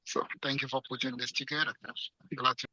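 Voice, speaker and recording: A man's voice: mid-range voice, flat delivery, fairly quick, pushed and bright, no room sound. A man giving an informal self-introduction as a participant in an online video call. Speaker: forum participant, university administrator. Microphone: video call microphone. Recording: dull, dry online call audio.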